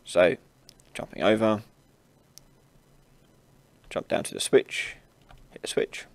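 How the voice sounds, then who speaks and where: A man hosting an informal software tutorial, casually explaining each step as he demonstrates it on a close mic. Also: lowish voice, slow pace, vocal fry, dry recording. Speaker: tutorial host. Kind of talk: informal screen-recorded software tutorial narration.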